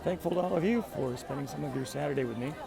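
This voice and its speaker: A friendly man with a gravelly voice hosts a casual livestream while walking outdoors, commenting and greeting viewers by name. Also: on the high side, rapid-fire, crowd noise. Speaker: livestream host. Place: outdoors in a busy theme park. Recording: outdoor park with loud background music. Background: background music.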